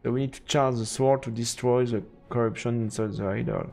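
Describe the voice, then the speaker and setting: A man's voice: medium pitch, steady tone, brisk, vocal fry, a little mellow, soft background music. A man doing a let's play as a streamer, reading game text aloud and reacting to it.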